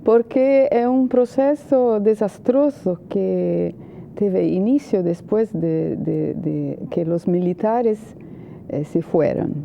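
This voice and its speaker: A woman's voice: mid-range voice, slow, gravelly, warm tone, slight background noise. A Brazilian woman with a Brazilian Portuguese accent, a journalist and political analyst, answering interview questions in hesitant Spanish full of "eh" fillers.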